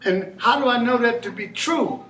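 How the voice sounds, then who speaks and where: A man giving an informal lecture to a small live audience, animated and often questioning the listeners. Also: extremely high pitch, normal speed. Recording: echoey room, mic far off.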